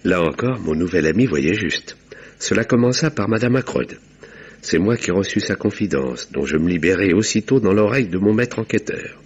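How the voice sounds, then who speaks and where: Wry doctor narrator in old radio mystery, super low husky voice, measured, bit muffled. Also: male voice, animated. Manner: measured, dryly ironic. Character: composed, wry male village doctor narrating. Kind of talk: scripted literary narration, 1950s radio drama. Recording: dull vintage radio sound.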